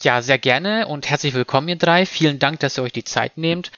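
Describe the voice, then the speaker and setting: A man hosting a discussion podcast, speaking in a measured, formal and articulate way. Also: fairly high pitch, a bit bright, dry recording, muffled recording. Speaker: podcast host moderating a panel. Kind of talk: scripted introduction, moderated discussion.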